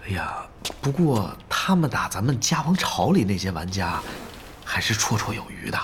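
An actor playing a scheming male guild lackey who flatters his boss in hushed, half-whispered tones in an audio drama. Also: on the low side, moderate pace, slight background noise. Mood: fawning.

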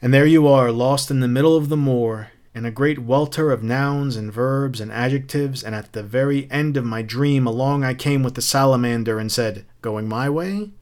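A man's voice: mid-range voice, normal speed, few pauses, a little mellow, natural voice. Guy reading a book as a smug fire captain, mocking, theatrical, taunting with fancy quotes. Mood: gloating, taunting. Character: smug, taunting fire captain who spouts literary quotations.

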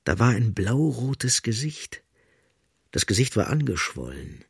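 A man's voice: mid-range voice, big pitch swings, a little slow, gravelly, husky, dry recording. A man narrating a literary radio play in a studio. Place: dry, close studio.

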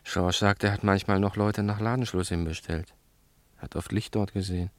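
Actor as earnest, low-voiced male milk bar owner. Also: fairly even intonation, normal speed, no echo, close to an everyday voice. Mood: weary, shaken. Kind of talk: German radio crime play acting.

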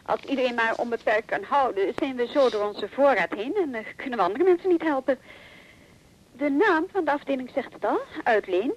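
An actress playing an officious, unbending clerk on the telephone in a radio drama, formal and matter-of-fact. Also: female voice, high-pitched, animated, brisk, rough recording. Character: officious, unbending female lending-desk clerk.

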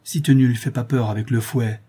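A man's voice: medium pitch, steady tone, fairly quick, warm tone, breathy edge, natural voice. A man voicing a feverish, complaining wife in a storybook, with a plaintive, impatient manner. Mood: impatient, doleful.

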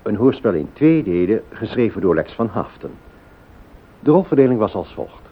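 Husky male radio announcer. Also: lowish voice, big pitch swings, normal speed. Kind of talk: scripted closing credits announcement. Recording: tinny, narrow-band old radio recording.

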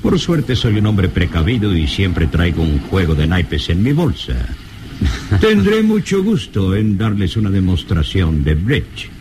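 A very deep-voiced genteel traveler in a radio serial. He is wry and inquisitive, unhurried but animated, on a noisy recording. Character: urbane, talkative, inquisitive male traveler in an adventure serial. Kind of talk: radio drama acting.

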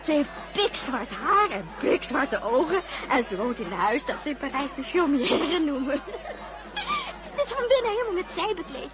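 A woman's voice: high voice, very animated, normal speed, over a noisy crowd, low-quality recording. An actress playing a giddy, gossiping young woman who chatters and giggles in a radio play. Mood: gossipy.

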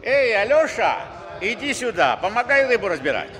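A man's voice: high voice, very animated, moderate pace, a bit bright. An actor in a Russian radio play playing a kindly, folksy older seaman who rambles and encourages. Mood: hearty, calling out. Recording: old radio play, reverberant, faint noise, ambience.

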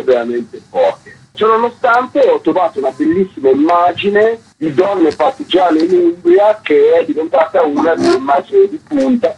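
A man with a raspy, creaky voice explaining his work as a curator being interviewed. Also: fairly high pitch. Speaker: photography exhibition curator interviewed as guest. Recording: dull, lo-fi line.

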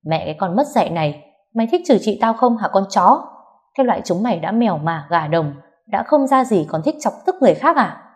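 A woman voicing a fiery, foul-mouthed younger sister who shouts and scolds in a high, sharp voice. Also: animated, normal speed, natural voice. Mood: furious. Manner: loud and fierce.

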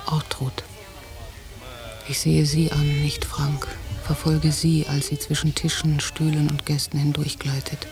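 An actress playing an anxious, jealous, watchful woman in a German radio drama, in a quiet, introspective, measured delivery. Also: sits low, little pitch movement, poor audio. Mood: brooding, inward. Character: anxious, jealous young-to-middle-aged woman on holiday, brooding, watchful. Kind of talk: German radio drama, intimate interior monologue and dialogue. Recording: 1990 radio drama from cassette, music behind.

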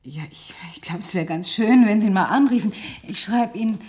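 A woman's voice: mid-range voice, brisk, darkish tone, lightly acted. An actress in a German radio play as a wholesome, optimistic young woman who is flustered, hesitant and effusive. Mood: evasive, hesitant.

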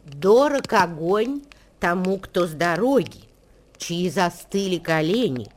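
An actress in a radio play voicing a solemn female reciter of ancient wisdom verse, measured and declamatory in delivery. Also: lowish voice, big pitch swings, a little hiss or hum. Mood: solemn, instructive. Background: music.

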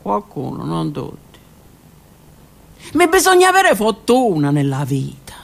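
A woman's voice: on the low side, wildly swooping pitch, a little slow, gravelly, exaggerated voice. A woman voicing a brash male prisoner who wants to be an actor, reciting a poem with big emphatic stresses. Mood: offhand. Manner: declaiming poetry with emphatic dramatic stresses.

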